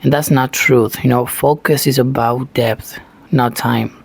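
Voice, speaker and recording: A man's voice: mid-range voice, fairly even intonation, a little slow, creaky, slightly rough. A man from Argentina with an Argentine Spanish accent, a native Spanish speaker giving an earnest motivational talk in English, full of "you know" fillers. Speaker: self-taught English learner, channel host.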